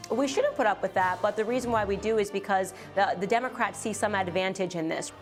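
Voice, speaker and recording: A woman talks politics as an opinionated commentator. Her delivery is quick and conversational, at an everyday pitch, with music faintly in the background.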